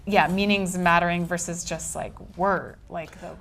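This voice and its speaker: A woman interviewing an author at a bookstore event, asking long, animated questions with many fillers. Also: lowish voice, normal speed, slight background noise. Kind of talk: casual conversation at a book event.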